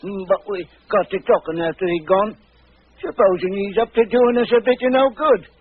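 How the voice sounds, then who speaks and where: A man's voice: high voice, normal speed, slightly rough, dry recording. An actor playing a meek, worried circus sideshow man in 1940s radio drama, humble and plain-spoken, with a working-class English accent. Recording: noisy, tinny old radio broadcast.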